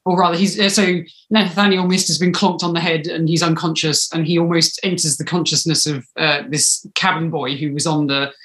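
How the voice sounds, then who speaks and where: A woman's voice: low voice, steady tone, fairly quick, few pauses, a bit bright. Woman in an echoey room. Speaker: audio drama writer, interviewee. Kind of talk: casual interview conversation. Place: small home room, indoors. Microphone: video call, mic at a distance. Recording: echoey room on video call.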